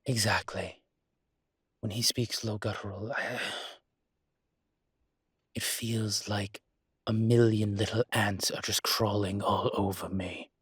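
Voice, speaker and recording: Guy acting as a classy demon professor, husky and super dry close sound, halting, kinda irritated but patient, intimate audio rp. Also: on the low side, flat delivery, dry recording, full character voice. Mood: uneasy, confiding. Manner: hesitant, halting, genteel explanations. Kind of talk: intimate audio roleplay monologue.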